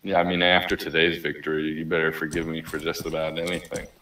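A man's voice: rumbling low, completely flat delivery, quick pace. A man chatting casually with friends while playing an online game. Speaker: gamer in a group voice chat. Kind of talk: casual banter while gaming. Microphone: gaming voice chat. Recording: roomy, distant mic with loud noise.

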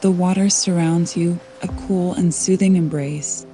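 A woman guiding a sleep meditation in a soothing, unhurried way, leaving long pauses between scripted phrases. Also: lowish voice. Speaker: meditation guide. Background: soft calming background music.

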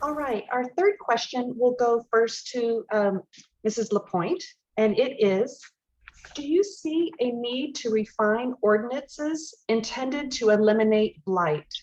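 A woman's voice: a little high, expressive, unhurried, darkish tone. A woman moderating a public forum, calling on speakers and reading questions aloud. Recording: dull, muffled forum audio.

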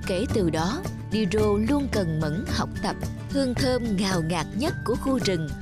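A woman's voice: medium pitch, expressive, unhurried. A woman narrator reading a scripted fairy tale as storytelling. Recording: music behind the voice.

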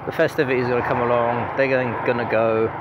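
A man's voice: mid-range voice, flat delivery, fast talker, lo-fi sound. A man riding a motorcycle, chatting casually and grumbling with the odd swear word, recorded on a helmet mic. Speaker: motovlogger. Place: on a motorcycle on country and town roads. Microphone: helmet-mounted mic. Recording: loud wind and road noise.

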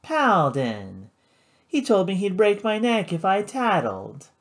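A man's voice: high voice, huge pitch swings, measured pace, voice pushed up, full character voice. A man voicing a drunk, giggly young woman who slurs her words. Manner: slurred, drunken.

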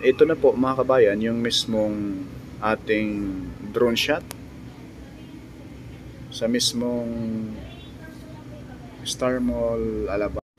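Low, even-voiced man narrating. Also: slight background noise. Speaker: vlogger. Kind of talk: vlog narration of drone footage. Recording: music behind the voice. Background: music.

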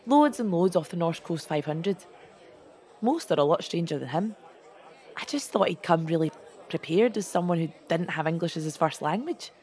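An actress playing a brisk, matter-of-fact, middle-aged hotel manager in an audio drama monologue. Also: female voice, on the low side, expressive, darkish tone, some chatter behind.

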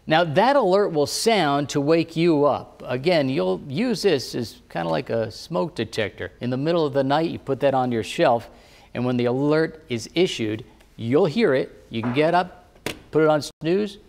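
A man working as a TV meteorologist, calmly explaining a device one step at a time. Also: a little high, normal speed, vocal fry, big-room sound. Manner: plain, patient instructive talk. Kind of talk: step-by-step demonstration. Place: TV studio weather set.

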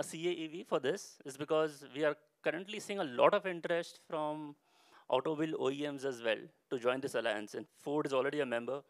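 A middle-aged man speaks as a conference presenter in a clear, resonant voice at a medium pitch. He explains in a semi-formal, conversational way, leaning on habitual linking phrases. The handheld microphone gives a close sound with little echo. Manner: explanatory, with frequent "you know" and "go ahead and" phrasing. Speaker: company director presenting a product launch. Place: stage at a large indoor expo conference.

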